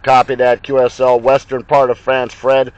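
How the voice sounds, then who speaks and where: A man's voice: mid-range voice, monotone, moderate pace, no room sound, lo-fi sound. American man on a dry car radio mic. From Ohio, USA. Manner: crisp radio procedure, spelled call signs. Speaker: CB radio operator. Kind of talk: radio contact exchange. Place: inside a car. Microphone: handheld radio mic.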